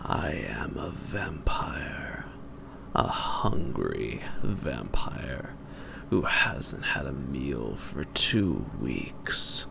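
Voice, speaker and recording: Very deep, gravelly gentleman vampire. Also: big pitch swings, full character voice. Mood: hungry, seductive. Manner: pompous, taunting, drawn-out, sometimes whispered. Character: suave, psychotic gentleman vampire, mocking and predatory. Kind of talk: theatrical roleplay monologue to the listener. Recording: noisy amateur home recording.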